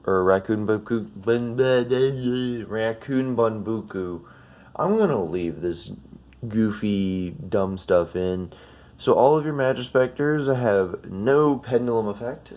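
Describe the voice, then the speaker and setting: A man's voice: lowish voice, a little mellow. A man with a slightly sniffly, congested voice gives a casual, unscripted card deck walkthrough in an informal, rambling, self-correcting way. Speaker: trading card video creator.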